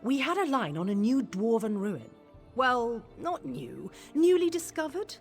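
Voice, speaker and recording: A woman's voice: a little high, very animated, measured pace, vocal fry, soft background music, exaggerated voice. A woman playing an upbeat, quippy scholar and dungeon delver in a video game, chatty and self-correcting. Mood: wry, hopeful. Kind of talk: video game character dialogue.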